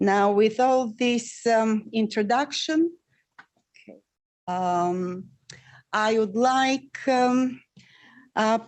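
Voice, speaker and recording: A woman's voice: on the high side, a little mellow. A woman moderating a panel, hesitant, with many fillers.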